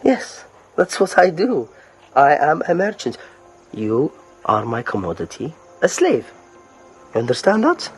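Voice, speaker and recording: An actor playing a callous slave merchant in an audio drama, coaxing and polite with menace underneath. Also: male voice, fairly high pitch, wildly swooping pitch, measured pace, pauses often, faint music behind. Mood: businesslike, callous. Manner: casual, coaxing politeness laced with threat.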